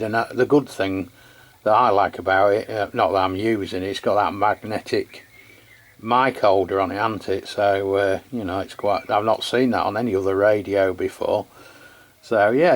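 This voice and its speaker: A man chatting casually as he hosts a hands-on radio equipment test. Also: on the low side. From England. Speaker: radio channel host testing equipment.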